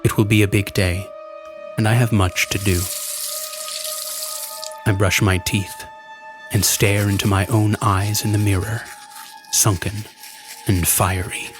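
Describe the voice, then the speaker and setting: Man playing a slow, low-voiced, haunted man. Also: little pitch movement, close to an everyday voice. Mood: weary, brooding. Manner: slow, weary, deliberate delivery in short phrases. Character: exhausted, haunted man narrating his dread-filled night. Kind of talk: first-person horror audio drama monologue. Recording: loud music under the voice. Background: loud music.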